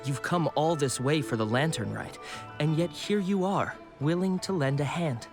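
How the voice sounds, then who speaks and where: An actor playing a courteous, officious government clerk in a video game, formal and mildly bemused. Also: male voice, a little high, loud music behind the voice, lightly acted. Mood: regretful, surprised. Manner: formal, measured wording, polite.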